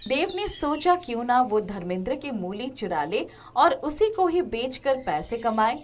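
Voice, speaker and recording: A woman's voice: on the high side, sing-song, measured pace. A woman narrating a scripted moral story as a storyteller.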